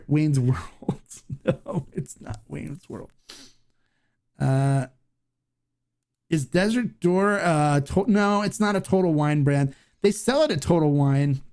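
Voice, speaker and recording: A man hosting a casual live Q&A, reading viewer comments aloud and answering in a rambling, halting way. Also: fairly high pitch, a little mellow. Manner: rambling, halting, many restarts and fillers. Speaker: host of a live Q&A stream.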